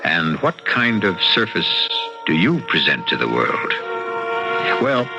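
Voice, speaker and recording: Host role: lowish male voice, knowing theatrical radio narrator, loud music behind, dull vintage sound. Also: sing-song, takes it slowly. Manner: knowing, rhetorical. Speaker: host and narrator of mystery series. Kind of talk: theatrical old-time radio scripted narration.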